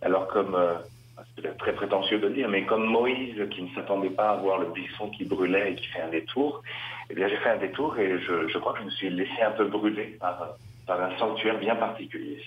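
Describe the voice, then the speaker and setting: A middle-aged man from western France, a Dominican priest, answering interview questions with many pauses and restarts. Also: sits low. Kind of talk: radio interview. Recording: roomy, slightly dull radio sound.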